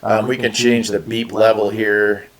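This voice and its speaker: A middle-aged man giving a casual, plain-spoken product demonstration as host, in an unhurried manner. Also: fairly low pitch, little pitch movement. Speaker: host reviewing radio gear. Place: small room at a desk, indoors.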